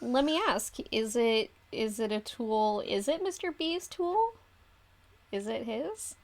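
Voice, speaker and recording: A woman game master in casual chat, asking coy, teasing questions in halting bursts. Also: on the high side, animated.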